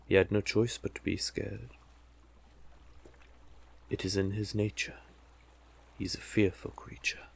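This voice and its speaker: A man voicing a cunning, monstrous sea witch who coaxes silkily in slow, theatrical endearments, in an intimate audio roleplay with a gravelly, husky voice. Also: on the low side, heavily acted.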